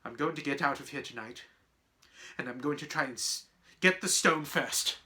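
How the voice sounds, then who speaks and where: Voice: medium pitch, moderate pace, sharp tone, natural voice. A reader playing an urgent, headstrong schoolboy. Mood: determined. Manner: urgent, breathless, stumbling over words. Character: earnest, headstrong young schoolboy hero.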